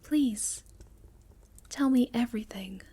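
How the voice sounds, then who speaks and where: Woman acting a slow-speaking, sweet, shy moth girl. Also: a little high, slightly rough, exaggerated voice. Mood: hesitant, wondering. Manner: soft, halting, stammering, often slipping into whispers. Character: sweet, shy, gentle moth-girl monster, cozy visitor. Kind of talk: ASMR roleplay acting.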